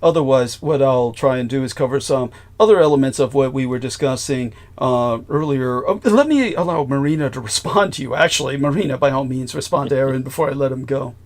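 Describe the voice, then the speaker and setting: A man's voice: mid-range voice, a little fast, few pauses. A man hosting a podcast conversation, formal and effusive, often restarting his sentences. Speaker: host.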